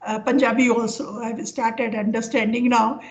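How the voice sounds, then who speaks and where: An older woman with an Indian accent giving an informal academic lecture over a video call, speaking haltingly as she explains with examples. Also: a little high, flat delivery. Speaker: professor giving an online lecture. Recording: dull, lo-fi online call audio.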